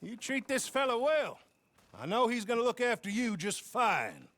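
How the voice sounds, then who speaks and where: A man's voice: very high-pitched, sing-song, normal speed, fairly bright tone, heavily acted. A man playing a friendly horse dealer in a Western game, with chatty, folksy sales patter. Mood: friendly, salesy. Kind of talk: cinematic Western game acting.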